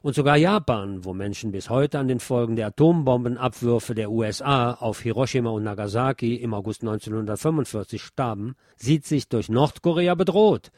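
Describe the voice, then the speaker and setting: A man's voice: mid-range voice, moderate pace, few pauses, darkish tone, no room sound, clean studio sound. A man reading a scripted political commentary as a presenter.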